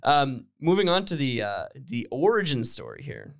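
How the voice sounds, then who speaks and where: A man's voice: medium pitch, sing-song, normal speed, creaky, dry recording, studio quality. A young man hosting a music podcast, asking questions casually with stumbling restarts and encouraging back-channels. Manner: encouraging, frequent yeahs and laughs, stumbling questions. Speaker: podcast host. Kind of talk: casual interview chat. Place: small room with bare walls. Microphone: handheld dynamic mic, headphones on.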